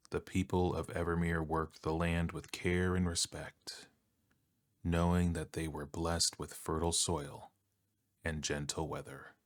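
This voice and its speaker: Man, deep soft voice, very slow delivery, soothing, long pauses, scripted bedtime story narration. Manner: calm, unhurried, soothing, with long pauses between phrases.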